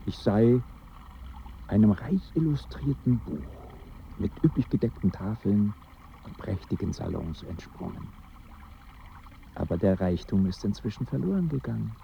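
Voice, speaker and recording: Gravelly, lively-pitched male lottery seller, fallen gentleman, formal and theatrical, radio play. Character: limping male lottery seller, impoverished gentry, wistful. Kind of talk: radio drama dialogue. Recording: dull sound with faint ambient noise.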